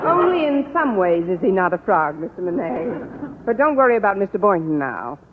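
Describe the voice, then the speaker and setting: A woman's voice: medium pitch, very animated, brisk, very noisy, close to an everyday voice. An actress playing a dry, sarcastic woman schoolteacher in radio sitcom style. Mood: wry. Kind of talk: 1950s radio sitcom acting. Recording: tinny old radio with loud studio audience.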